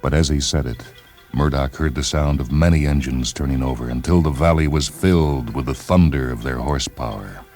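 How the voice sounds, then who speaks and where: A man narrating a short story in a deliberate, dramatic literary delivery, with music behind him. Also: very deep voice, darkish tone. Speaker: narrator and reader of short stories.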